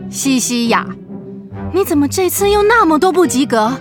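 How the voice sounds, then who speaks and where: Exasperated mother, high voice, very expressive pitch, scolding, sighing, children's radio drama. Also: moderate pace, music in the background. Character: exasperated, fretting mother of a little girl. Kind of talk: children's radio play acting.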